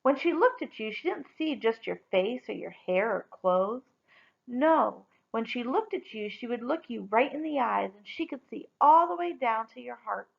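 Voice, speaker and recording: A woman reading a story aloud expressively, with deliberate, dramatic emphasis on key words. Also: on the high side, lively intonation, gravelly, dull audio.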